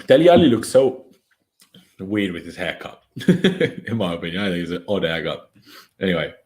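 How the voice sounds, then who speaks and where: A man's voice: sits low, expressive, normal speed, darkish tone. A young man from Austria whose first language is German talks through a football match live for his stream. He is chatty and opinionated, calls the play, and reads viewer comments aloud as they arrive. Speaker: fan watchalong streamer. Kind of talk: casual live match commentary and chat reading.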